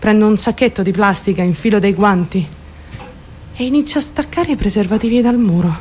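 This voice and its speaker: A woman's voice: mid-range voice, brisk, slight background noise, lightly acted. An actress playing a troubled, volatile young woman in a dramatic radio monologue, intense and raw as she recounts her traumatic story. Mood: numb, fragile. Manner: intense, swinging between outcry and hushed narration.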